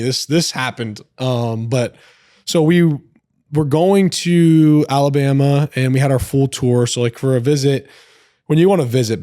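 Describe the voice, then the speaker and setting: A young man in a podcast chat, telling a long story in a rambling, animated way with lots of filler words. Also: mid-range voice, dry recording, clean studio sound. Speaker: podcast guest. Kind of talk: casual storytelling.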